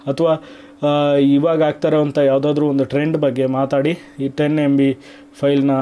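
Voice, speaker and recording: A man's voice: medium pitch, dark tone. A man from Karnataka hosting an online video, explaining things to viewers step by step in a casual, conversational way.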